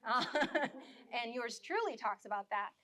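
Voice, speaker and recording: Slightly hoarse woman panelist. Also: a little high, animated, a little slow, bright, forceful tone. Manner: earnest, reflective, many restarts. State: dry mouth, slightly losing voice. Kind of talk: live panel discussion.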